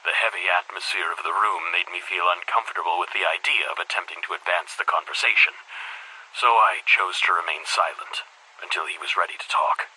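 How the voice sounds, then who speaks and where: A man playing a weary, guilt-ridden middle-aged headmaster in a video game, recording a brooding, formal confession as an audio diary with a husky, breathy voice. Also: low voice, fairly even intonation, pushed and bright, exaggerated voice, low-quality recording. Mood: unsettled, grave. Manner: measured, brooding, formal diction.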